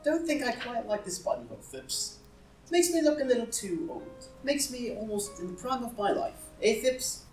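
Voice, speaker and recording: A woman's voice: on the high side, very animated, moderate pace, a little mellow. A woman playing a foppish, idle, witty young lord in a comedy play reading, languid and arch. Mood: airy self-regard. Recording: roomy live reading, distant mic, music.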